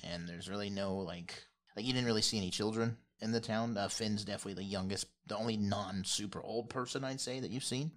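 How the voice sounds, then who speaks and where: A man's voice: lowish voice, fast talker, a bit bright. A man acting as game master, narrating a fantasy tabletop game in a casual, rambling, improvised way.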